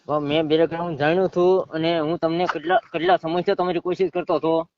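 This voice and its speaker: Fast-talking, defensive Saurashtra Gujarati man. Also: fairly high pitch, little pitch movement, slight background noise. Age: 30s. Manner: defensive, insistent. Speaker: caller. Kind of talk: argumentative phone conversation. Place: phone call. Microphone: phone. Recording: muffled phone call recording.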